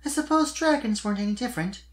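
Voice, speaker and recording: A performer voicing a kind, perceptive young female unicorn pony in a soft, earnest way for a cartoon fan story. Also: mid-range voice, lively intonation, fast talker, pitched above the performer's own voice, close to an everyday voice. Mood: gentle, knowing.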